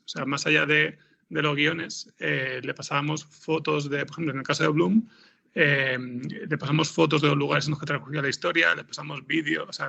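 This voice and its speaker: A man giving informal interview answers as a scriptwriter, haltingly, repeating words as he thinks, with a gravelly, husky voice. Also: a little high, pushed and bright, muffled recording. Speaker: podcast scriptwriter being interviewed.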